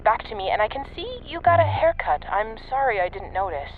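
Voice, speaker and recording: A woman's voice: fairly high pitch, sing-song, a little fast. An actress playing a sardonic, blunt female secret agent in an audio drama, cornered and unravelling, heard through a radio filter. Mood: dazed, rambling. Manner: blunt, terse, swearing. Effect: radio transmission filter. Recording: tinny narrow-band radio with music.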